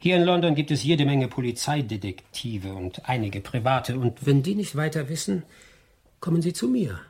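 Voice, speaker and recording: An actor playing a brilliant, restless and arrogant Victorian detective in a radio crime drama, husky and precise in his declamation. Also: medium pitch, big pitch swings, normal speed, a little mellow. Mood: haughty.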